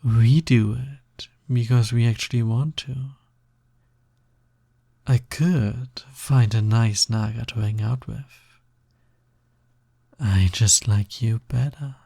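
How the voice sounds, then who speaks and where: A man acting as a smug, touch-starved naga demon in an intimate audio roleplay. The voice is mellow and breathy with a gravelly creak at the edges. He drawls softly, teasing and coaxing the listener. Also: mid-range voice, slow pace, pauses often, no room sound, studio quality, full character voice. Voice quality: breathy, soft, slightly gravelly.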